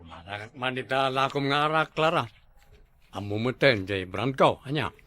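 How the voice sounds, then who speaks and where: A man's voice: medium pitch, animated, moderate pace, bright, forceful tone. A man playing an easygoing, hard-drinking husband full of excuses in a comedy drama, with an Ilocano accent. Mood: boastful.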